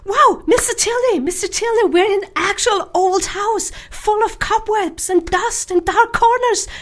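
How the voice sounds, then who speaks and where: A woman playing an excitable, curious young lady who gushes over old houses in a radio drama. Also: very high-pitched, unhurried, voice pushed up. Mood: thrilled.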